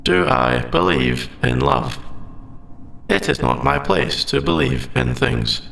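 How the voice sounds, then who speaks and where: A very gravelly, creaky AI character acted by a man speaks in stilted, formal phrases with a lively, swooping pitch. He is polite and literal, a sentient machine in a sci-fi roleplay audio drama. The voice sits in a roomy echo. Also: takes it slowly, slight background noise, heavily acted. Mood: matter-of-fact. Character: male sentient AI, polite, literal, hiding forbidden feelings.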